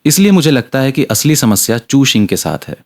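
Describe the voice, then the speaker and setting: Male audiobook narrator, mid-range pitch, delivering scripted fiction. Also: big pitch swings, brisk.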